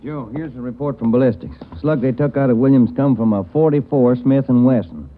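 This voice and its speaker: An actor playing a steady, plain-spoken police detective partner in a 1940s radio drama. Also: male voice, medium pitch, brisk, soft background music, natural voice. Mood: easygoing. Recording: thin phone-like 1940s radio, hissy.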